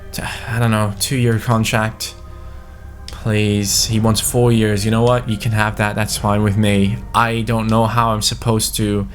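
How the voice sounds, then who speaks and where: Young guy narrating his football game, gets worked up fast, some soft music in back. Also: fairly low pitch. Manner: excitable, swings to panicked cries. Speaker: gaming YouTuber. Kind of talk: casual game commentary.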